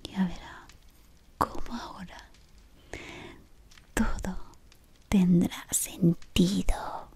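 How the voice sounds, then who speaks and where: A woman in her thirties playing a scheming, devoted female servant of a dark wizard, whispering close to the mic in a dim room. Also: on the low side, fairly even intonation, extremely slow, long pauses. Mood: sinister devotion. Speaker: ASMR roleplayer. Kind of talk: whispered ASMR roleplay.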